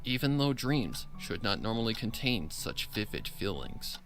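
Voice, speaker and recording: A man's voice: lowish voice, very animated, a little slow, gravelly, a bit bright, soft background music. A man giving a dramatic narration of a horror story. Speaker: audiobook narrator.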